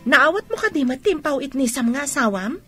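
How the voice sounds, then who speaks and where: A woman's voice: high-pitched, animated, brisk, sharp tone, soft background music. A woman playing a concerned, questioning friend in an Ilocano radio drama. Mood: curious, concerned. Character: concerned, inquisitive female friend.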